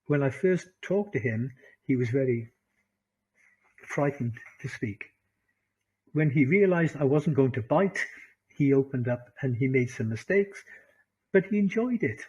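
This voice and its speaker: A man with a Welsh accent teaching an online English class, animated, laughing often and repeating phrases for emphasis. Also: mid-range voice, measured pace, pauses often, breathy edge, rough recording. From Wales.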